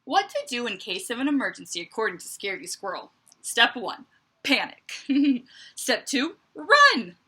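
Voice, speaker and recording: A woman reading a picture book aloud to children like a teacher, with expressive emphasis and chatty asides. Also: a little high, normal speed, slightly rough. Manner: expressive, dramatic emphasis, conversational asides to young listeners.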